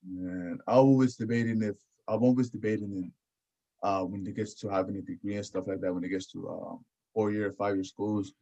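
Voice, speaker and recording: A man's voice: fairly low pitch, fairly even intonation, fairly quick, gravelly, warm tone. A man hosting a podcast in casual chat, often repeating his phrases. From Houston area, United States. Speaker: podcast host. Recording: dull, lo-fi audio.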